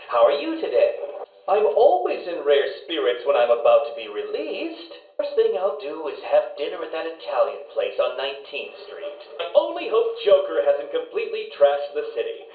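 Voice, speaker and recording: A man's voice: fairly high pitch, huge pitch swings, moderate pace. A man playing a smug, obsessive riddle-posing villain in a video game, with a condescending, theatrical, sneering delivery. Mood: mock cheerful, sly. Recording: tinny phone-like sound, roomy echo.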